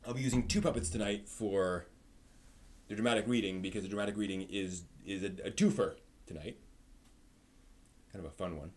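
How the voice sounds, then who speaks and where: A man's voice: fairly low pitch, a little fast, pauses often, darkish tone. A man hosting a live stream in a conversational way, moving between news rundown and casual asides to his viewers.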